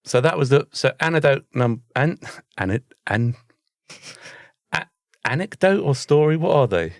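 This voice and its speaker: A man's voice: medium pitch, sing-song, a little slow, no room sound, clean studio sound. A man with a British accent co-hosting a podcast in casual chat, with a teasing, questioning manner. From Britain. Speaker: podcast co-host.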